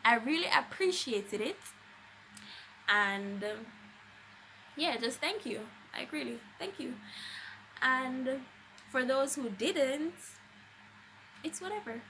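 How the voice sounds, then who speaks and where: A woman's voice: high-pitched, lively intonation, slow, long pauses, bright, forceful tone, a little hiss or hum. A young adult woman with a Jamaican accent, chatting casually and expressively as a vlogger telling a personal story, with emphatic conversational delivery.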